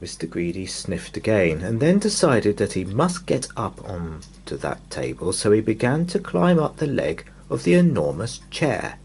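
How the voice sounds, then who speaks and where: A man reading a children's bedtime story in an expressive, dramatic way, lingering on repeated words. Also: on the low side, normal speed, gravelly, hardly any pauses, a little hiss or hum.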